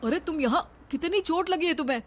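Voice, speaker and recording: A woman's voice: high voice, fairly quick, a little hiss or hum, pitched above the performer's own voice, lightly acted. A woman voicing an angry, protective young husband in a cartoon story, indignant and urgent. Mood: shocked concern.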